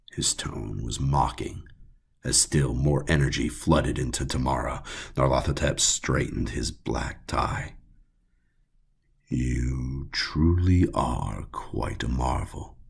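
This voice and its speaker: A man narrating a horror audiobook, reading scripted prose in a grave, dramatic and deliberate way. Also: rumbling low, creaky, breathy edge.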